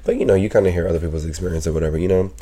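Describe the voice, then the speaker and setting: A man hosting his own podcast, talking casually with a sick, raspy voice, rambling with lots of fillers and swearing. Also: low-pitched, fairly even intonation, rapid-fire, mellow. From Pennsylvania. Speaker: podcast host. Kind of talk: casual solo podcast chat.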